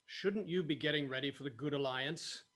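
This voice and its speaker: A man's voice: fairly high pitch, moderate pace, fairly bright tone. A man playing a brash, roguish pirate captain in a table read of a fantasy comedy. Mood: wry. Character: gruff roguish orc-hating pirate captain, male.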